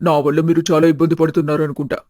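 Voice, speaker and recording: A man voicing a sincere, gentle young husband in an animated family story, earnest and subdued. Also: on the high side, racing, dry recording, clean studio sound, close to an everyday voice. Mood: confessional. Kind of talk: animated family drama dialogue.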